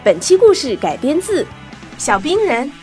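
A woman hosting and narrating a children's audio story in an expressive scripted style, speaking directly to young listeners. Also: high-pitched, unhurried, faint music behind. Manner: addresses children directly, animated.

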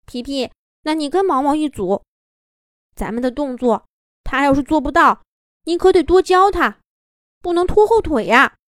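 Woman doing a fussy, high boy kitten captain. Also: lively intonation, dry recording, studio quality. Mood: reluctant. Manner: fussy, doubtful, quick to fret. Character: bossy young boy cat, dance-team captain. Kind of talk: children's storybook voice.

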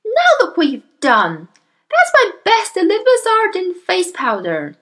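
Exasperated, scolding mother for children's story played by a woman: high-pitched, shrill, wildly animated delivery. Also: normal speed, heavily acted. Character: shrieking scornful mother, middle-aged, bossy and exasperated. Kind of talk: acted children's audiobook.

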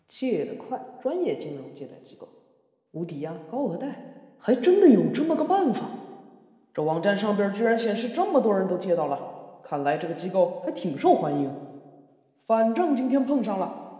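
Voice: mid-range voice, very animated, moderate pace, dark tone, husky, dry recording, studio quality. A performer playing a worried father working in the city, grumbling and colloquial, in an amateur radio drama. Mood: hopeful, musing. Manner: sighing, complaining, colloquial. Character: worried migrant father seeking a loan in the city.

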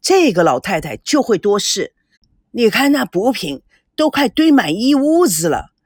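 A woman's voice: on the low side, animated, a little slow, slightly rough, dry recording, clean studio sound. A woman voicing a stern, caring elderly father in an audiobook, grumbling, sighing and admonishing.

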